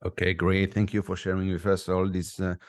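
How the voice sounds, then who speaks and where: A man hosting a podcast, asking measured interview questions with occasional fillers. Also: low voice, monotone. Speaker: podcast host.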